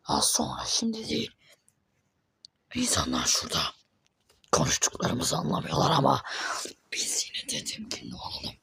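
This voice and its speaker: A woman voicing low, hoarse-whispering zombies in a cartoon, secretive and sullen. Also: wildly swooping pitch, normal speed, pauses often, a bit bright, no room sound, full character voice.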